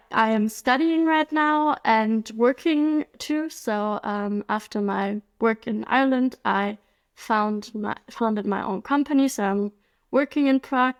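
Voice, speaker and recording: A woman in her twenties from Germany, a guest telling her story in a casual interview, chatty, with frequent ums and little laughs. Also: medium pitch. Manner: chatty, laughs often, many ums and likes.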